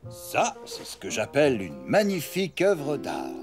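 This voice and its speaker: A man's voice: medium pitch, wildly swooping pitch, measured pace, music in the background. A man voicing a cheerful, doting elephant father in a French cartoon dub, theatrical and jovial. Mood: proud.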